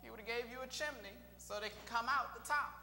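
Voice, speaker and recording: Bright-voiced preacher in an echoey church. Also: male voice, extremely high pitch, fast, a little hiss or hum. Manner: sings and chants phrases, quizzes the reader. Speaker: minister. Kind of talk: call-and-response sermon. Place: small church sanctuary. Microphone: pulpit mic. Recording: echoey church room, long reverb, faint organ.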